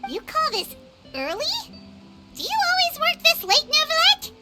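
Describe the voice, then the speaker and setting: A woman voicing a tiny, inquisitive, cheeky water creature with a squeaky childlike voice in a video game. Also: wildly swooping pitch, normal speed, sharp tone, soft background music, full character voice. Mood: curious, cheeky.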